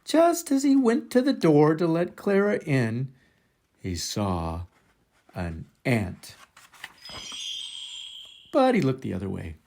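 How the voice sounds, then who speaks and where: An older man reads a children's picture book aloud in an expressive, dramatic way, drawing out words for emphasis. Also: a little high, vocal fry. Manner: dramatic, deliberate, drawn-out emphasis. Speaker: storybook reader for children.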